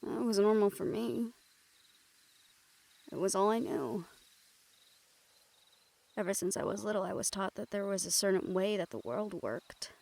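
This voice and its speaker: A woman's voice: medium pitch, expressive, unhurried, vocal fry, long pauses. A woman playing a young monster hunter in an intimate audio roleplay, casual and sweary, wry, teasing and tender with a partner. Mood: weary, tender. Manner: casual, wry, sweary, breaks into soft chuckles. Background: music under the voice.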